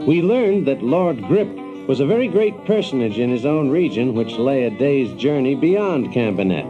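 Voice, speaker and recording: A man's voice: medium pitch, big pitch swings, normal speed, dull audio, over music, lightly acted. An actor playing a cocky, wry American adventurer, telling his story as dramatized first-person narration. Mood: matter-of-fact.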